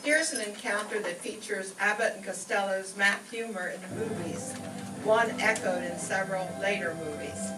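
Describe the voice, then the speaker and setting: A woman's voice: medium pitch, moderate pace. A woman master of ceremonies reading scripted introductions at a live stage show. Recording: echoey, distant mic, music behind.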